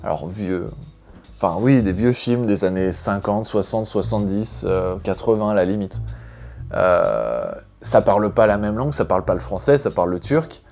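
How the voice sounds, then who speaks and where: A man's voice: lowish voice, lively intonation, normal speed, creaky, a little mellow, soft background music. A man from France talking to his viewers in a casual vlog, chatty and thinking aloud as he rambles from point to point. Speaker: expat vlogger.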